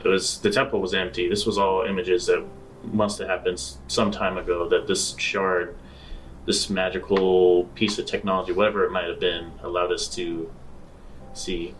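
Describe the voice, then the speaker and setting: A man playing a sheepish space adventurer in improvised tabletop roleplay, speaking haltingly and repeating himself. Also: low voice, little pitch movement, far from the mic, slight background noise, close to an everyday voice, rough recording. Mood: hesitant. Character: sheepish male space adventurer reporting back.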